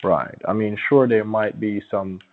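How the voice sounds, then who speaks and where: Low-voiced man on a muffled phone line. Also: fairly even intonation, unhurried. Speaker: phone caller pitching a sweepstakes prize. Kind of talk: conversational phone sales talk. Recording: muffled, narrow-band phone call.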